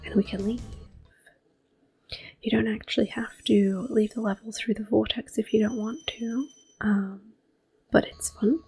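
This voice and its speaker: A woman giving soft-spoken ASMR gameplay commentary as host, often slipping into a breathy whisper, in a gentle, unhurried way. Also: fairly high pitch, fairly even intonation. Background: soft video game music.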